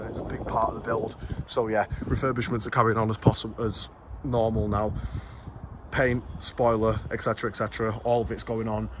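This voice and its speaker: A British man talks casually in a low, fairly flat voice, explaining things informally as in a car vlog. The recording has clear background noise, like a handheld video made around vehicles. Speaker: car restoration vlogger. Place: in a car, out on a street, and at a computer.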